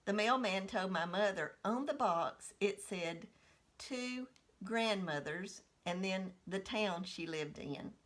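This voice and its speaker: Older woman reminiscing in an unhurried way. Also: medium pitch, fairly bright tone. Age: 60s. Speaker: storyteller. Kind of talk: personal childhood storytelling.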